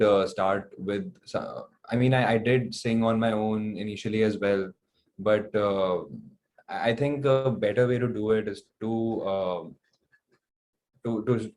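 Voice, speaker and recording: A man's voice: lowish voice, a little mellow. A young man with an Indian accent answering interview questions casually, thinking aloud in hesitant, loosely phrased sentences. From New Delhi, India. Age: young adult. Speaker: guest, singer-songwriter. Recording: clearly noisy background.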